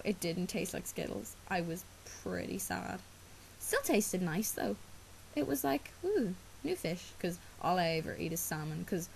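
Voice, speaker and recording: A woman's voice: fairly low pitch, vocal fry, slight background noise, low-quality recording. A teenage girl with an Irish accent, whose first language is Irish, chatting casually to camera. She rambles with lots of ums and likes and has a sore throat from a cough. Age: teens. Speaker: vlogger. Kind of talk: casual chat.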